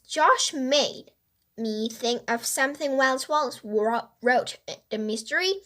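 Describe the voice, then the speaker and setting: A woman's voice: high-pitched, animated, takes it slowly, fairly bright tone, exaggerated voice. A woman voicing a bossy, inquisitive young girl detective in a children's storybook, emphatic and questioning. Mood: eager.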